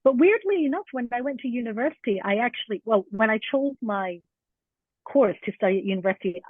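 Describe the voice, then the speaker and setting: A woman's voice: a little high, expressive, fairly quick, slightly rough, no room sound. Chatty woman, mild Scottish-American accent. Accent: mild Edinburgh Scottish with an American tinge. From Scotland. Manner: chatty, laughs often, many fillers. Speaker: guest teacher. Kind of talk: casual conversational interview. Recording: tinny phone-like line.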